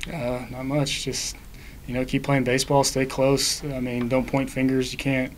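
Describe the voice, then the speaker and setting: A man's voice: mid-range voice, monotone, normal speed, a little hiss or hum. A man in his twenties, a college baseball player, giving matter-of-fact answers at a postgame press conference. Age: 20s. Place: indoor press room.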